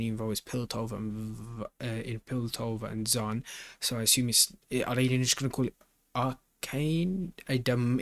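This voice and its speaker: A man's voice: medium pitch, a bit bright, dry recording. A man giving casual off-the-cuff reaction commentary in slangy speech, often repeating and rephrasing himself. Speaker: reaction video host.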